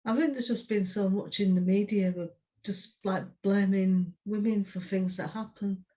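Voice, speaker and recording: A woman's voice: medium pitch, steady tone, normal speed, dark tone. A woman, speaking plainly as a podcast co-host who chats and reads her poetry. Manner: brief, plain-spoken. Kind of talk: casual podcast chat and poetry reading.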